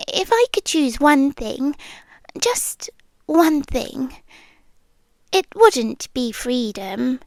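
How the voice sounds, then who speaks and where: A woman's voice: sits high, dry recording, full character voice. A British woman playing a lonely, obsessive, affectionate stalker girl in an intimate ASMR roleplay, speaking tenderly and breathily, slipping into whispers. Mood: tender, yearning. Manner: slow, breathy, tender, with pauses and sighs. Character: lonely obsessive bodiless young female stalker, possessively affectionate. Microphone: close microphone.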